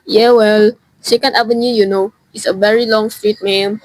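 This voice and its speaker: A teenage girl playing an unhurried, dismissive police desk sergeant in a radio suspense drama, offhand and patronizing. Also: medium pitch, level tone, no echo, close to an everyday voice, poor audio. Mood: skeptical.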